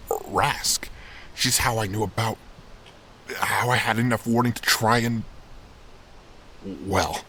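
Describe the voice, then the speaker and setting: A man's voice: lowish voice, steady tone, slow, pauses often, pushed and bright, a little hiss or hum. A man voicing an older animal uncle, an earnest, hopeful and weathered mentor, in a dramatic fandub. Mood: patient, sincere.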